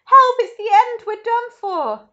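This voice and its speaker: A woman voicing an excitable old grandfather who shouts and exclaims in a storybook reading. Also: sits high, takes it slowly, lo-fi sound, voice pushed up, heavily acted. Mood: panicked.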